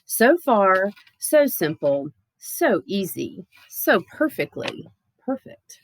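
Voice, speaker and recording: A woman reading a children's picture book aloud in a playful, expressive way with theatrical emphasis. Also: medium pitch, slow pace, slight background noise, poor audio. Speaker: storybook reader.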